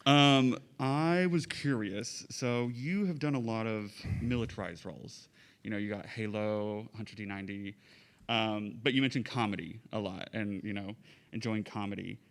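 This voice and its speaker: A man's voice: fairly low pitch, moderate pace. A man asking a casual, slightly rambling question from the audience in a tentative way.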